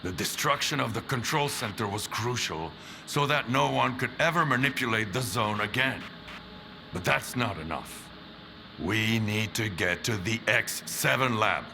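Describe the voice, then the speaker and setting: An actor playing a weary, intense veteran stalker who talks in a low, measured, brooding way. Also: male voice. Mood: grave. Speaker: veteran stalker explaining the Zone.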